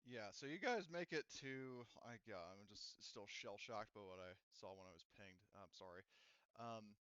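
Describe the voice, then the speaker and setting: A man's voice: lowish voice, normal speed, a bit bright, clear, resonant tone, dry recording, muffled recording. A man acting as game master in casual tabletop chat, rambling and swearing freely as he narrates.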